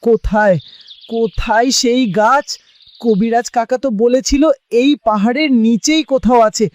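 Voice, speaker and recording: A man's voice: extremely high pitch, fairly even intonation, moderate pace. A man playing a loyal, pleading village man full of self-doubt in a cartoon drama. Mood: frantic, frustrated. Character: Deben, anguished loyal village man searching a forest. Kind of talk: Bengali cartoon drama acting.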